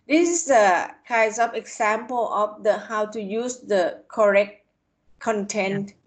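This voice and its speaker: A middle-aged woman from Thailand with a Thai accent, a university lecturer giving an online panel talk in halting English full of fillers, speaking over a video call from a tablet at home. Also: mid-range voice. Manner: halting, many "kind of" fillers and restarts.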